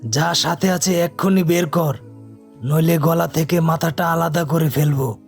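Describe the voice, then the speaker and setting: A man acts out a rough cartoon robber with a gravelly, fairly high voice. He sounds gruff and threatening, with faint music underneath. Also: fairly even intonation, a little slow. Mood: menacing. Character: menacing bandit henchman, rough and threatening. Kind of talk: animated cartoon voice acting.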